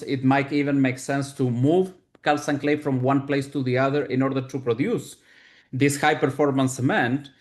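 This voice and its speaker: A man from Chile with a Chilean Spanish accent, a native Spanish speaker, answers technical questions as a researcher in a deliberate, explanatory way, often repeating words as he searches for phrasing. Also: mid-range voice.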